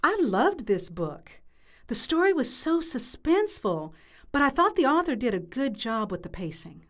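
A woman's voice: sits high, very animated, moderate pace, a bit bright. A woman playing an eager, friendly book club member in a comedic audio drama, enthusiastic in delivery.

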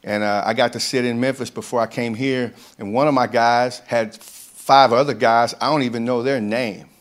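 A man's voice: mid-range voice, fairly even intonation, moderate pace. A man, a ministry leader, speaking earnestly to a church group, often stopping and restarting his sentences.

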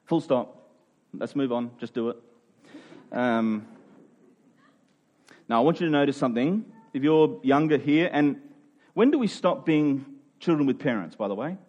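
A man in his forties preaching a sermon, earnest and emphatic, sometimes dropping to a quiet voice. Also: medium pitch, animated, unhurried, pauses often, muffled recording. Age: 40s. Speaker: pastor.

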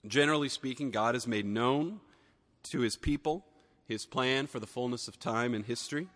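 A man preaching a sermon, a little hoarse from a cold, earnest and building emphasis on key phrases. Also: medium pitch, moderate pace, a bit bright, big-room sound. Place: church sanctuary.

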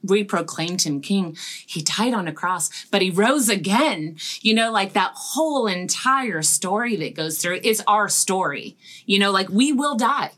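A woman's voice: mid-range voice, animated, measured pace, fairly bright tone. A woman talking reflectively in a casual devotional discussion, conversational with frequent fillers.

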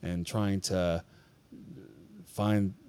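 Low, mellow-voiced man chatting candidly. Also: level tone, very slow, smooth, no room sound. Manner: candid, confessional, rambling with restarts. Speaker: podcast co-host at a church. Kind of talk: casual chat.

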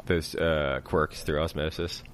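A man's voice: extremely low pitch, level tone, unhurried, a little hiss or hum. A man with a Midwestern American accent hosting a podcast interview, speaking hesitantly with frequent ums and restarts. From Kansas, United States. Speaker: podcast host. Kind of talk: casual interview chat.

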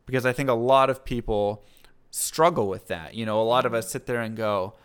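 A man's voice: medium pitch, fairly quick, smooth. A man hosting a conversation, asking thoughtful, probing questions. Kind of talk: interview questions.

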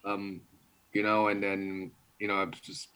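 Fast-talking young man from New York. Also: fairly low pitch, monotone, bright, forceful tone, clean tone, poor audio. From New York City. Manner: fast, run-on talk with restarts and laughs, blunt swearing. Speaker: interview guest, martial arts instructor. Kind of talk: casual interview.

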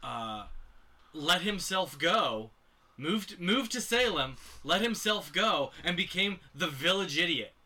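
A man's voice: sits high, lively intonation, slow, sharp tone. A man co-hosting a movie podcast, reading out cast lists with hesitant ums and uhs. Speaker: podcast co-host. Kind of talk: casual chat.

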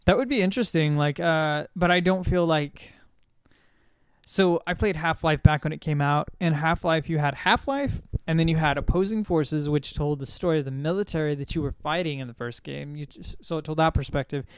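Clear, higher-pitched man chatting about pop culture. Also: normal speed, no room sound. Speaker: podcast co-host. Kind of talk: casual discussion of video games and films.

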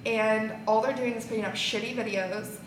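A woman's voice: on the high side, steady tone, normal speed. A woman chatting casually on a group podcast. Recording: roomy, off-mic, faint background noise.

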